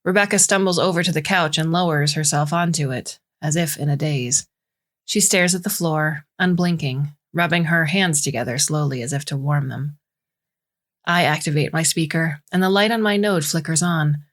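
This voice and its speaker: Woman voicing a measured, gentle female AI narrator. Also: deep voice, natural voice. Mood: gentle, earnest. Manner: measured, formal, careful diction. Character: female AI home assistant, gentle, precise, observant narrator. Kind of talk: scripted first-person story narration.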